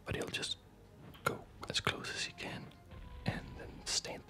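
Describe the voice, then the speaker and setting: Gamer for ASMR playthrough: breathy whisper throughout, very slow, self-murmured commentary. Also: bright, forceful tone. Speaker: gamer narrating own play.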